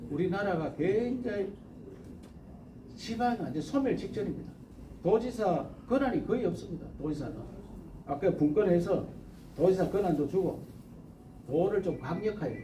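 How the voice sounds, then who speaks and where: Older man, Gyeongsang dialect, high pitch, rambling stump-speech delivery, distant mic in a roomy hall. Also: warm tone, a little hiss or hum. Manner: rambling, folksy, emphatic, quotes people in dialect. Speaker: politician. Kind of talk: campaign speech.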